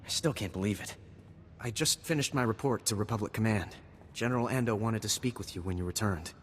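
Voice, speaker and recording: A man's voice: fairly low pitch, a little fast, close to an everyday voice. A man playing an earnest, grateful junior officer in a video game, respectful and dutiful. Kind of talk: video game cutscene acting. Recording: reverberant game audio, faint noise.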